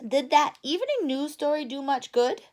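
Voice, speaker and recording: A woman's voice: sits high, big pitch swings, normal speed, a little mellow, pitched above the performer's own voice. A woman voicing an earnest, eager young boy bear cub from a storybook, speaking emphatically. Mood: eager, questioning.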